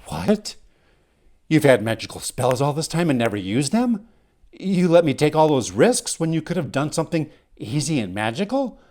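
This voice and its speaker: A man's voice: on the low side, sing-song, normal speed, few pauses, husky, heavily acted. A man voicing a bold, impatient young male adventurer in a fantasy story, speaking insistently and sternly. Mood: indignant. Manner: insistent, indignant, commanding.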